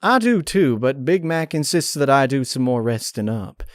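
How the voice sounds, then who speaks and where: A man's voice: mid-range voice, big pitch swings, moderate pace, dry recording, clean studio sound, pitched above the performer's own voice, close to an everyday voice. A man voicing a good-natured, weary female farm pony in a story, with a mild, resigned, folksy manner.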